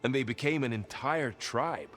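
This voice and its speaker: An actor playing a loyal, thoughtful young warrior in a video game, speaking in a calm, sincere, conversational way. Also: male voice, medium pitch, normal speed, faint music behind, close to an everyday voice. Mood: thoughtful, reassuring. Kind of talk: naturalistic video game cutscene acting.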